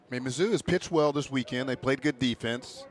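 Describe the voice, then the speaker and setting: A man's voice: mid-range voice, a little fast. An American man giving conversational color commentary on a baseball game. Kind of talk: conversational sports analysis.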